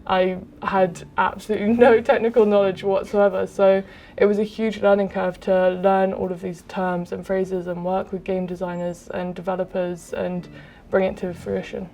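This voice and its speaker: Even-toned woman, game director. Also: medium pitch, moderate pace. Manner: even, explanatory, with frequent "um". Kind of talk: interview answers.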